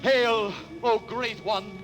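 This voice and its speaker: The last survivor of a lost race, intoning his farewell in a slow, lofty, ceremonial way. His voice sits a little high and drags each word out over a swelling musical bed, like an old radio play. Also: steady tone, over music. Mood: solemn. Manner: slow, grave, chanting like a ritual farewell. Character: solemn last survivor of an ancient microscopic race, male. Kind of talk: 1950s radio drama acting.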